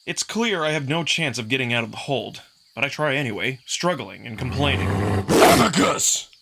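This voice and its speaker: Gravelly, bright male narrator. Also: medium pitch, animated, fairly quick. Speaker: narrator and voice performer. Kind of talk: dramatic first-person visual novel narration.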